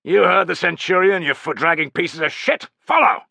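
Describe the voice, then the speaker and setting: Male narrator as foul-mouthed Roman soldier, loyal, brisk, barking, high forceful delivery, audiobook drama. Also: very animated, no room sound, full character voice. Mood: barking orders. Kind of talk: dramatic audiobook character acting.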